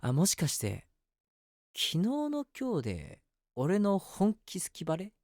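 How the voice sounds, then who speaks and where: Man acting a lively, flustered lovestruck young guy. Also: on the high side, a little slow, dry recording, studio quality, heavily acted. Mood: self-doubting. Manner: flustered, animated, self-mocking, talking to himself. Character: lovestruck, self-deprecating, easily flustered young man, narrating his crush. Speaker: voice actor. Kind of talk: first-person situation voice drama, chatty narration with acted reactions.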